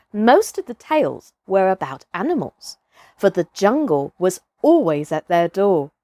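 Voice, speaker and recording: A woman's voice: fairly high pitch, darkish tone, no echo, clean studio sound. A woman narrating a children's story expressively, as a storyteller. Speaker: storyteller, actress.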